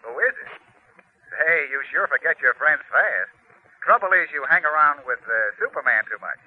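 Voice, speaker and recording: An actor playing a teasing, slangy old pal, jovial on the telephone in an old radio drama. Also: male voice, fairly high pitch, big pitch swings, moderate pace, slightly rough, narrow, tinny audio. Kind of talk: 1950s radio drama acting.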